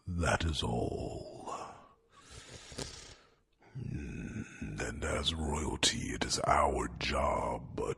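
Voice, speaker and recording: An ancient, gentle dragon counsels in an ASMR fantasy roleplay. The voice is extraordinarily low and crackles with heavy fry. It moves very slowly and deliberately, pausing on thoughtful hums. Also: male voice, heavily acted. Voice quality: gravelly, breathy, rumbling whisper. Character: old wise dragon, gentle male royal advisor to a young dragon.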